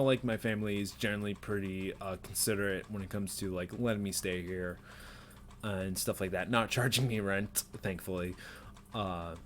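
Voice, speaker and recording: A man's voice: on the low side, fairly bright tone, slight background noise. A man in his twenties rambling self-critically in an unedited personal vlog, with nervous laughs and many fillers, recorded in a small bedroom on a desk webcam. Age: 20s. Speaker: vlogger.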